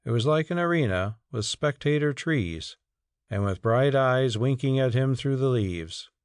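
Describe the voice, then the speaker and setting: A man's voice: medium pitch, expressive, unhurried, clear, resonant tone, no room sound, clean studio sound. A man narrating a story as a scripted, dramatic audiobook reading.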